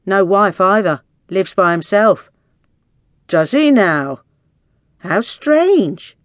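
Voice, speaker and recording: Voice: medium pitch, brisk, no room sound, clean studio sound, lightly acted. An audiobook narrator voicing blunt, indignant islander women with a scolding, colloquial manner. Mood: disapproving. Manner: scolding, colloquial tag questions.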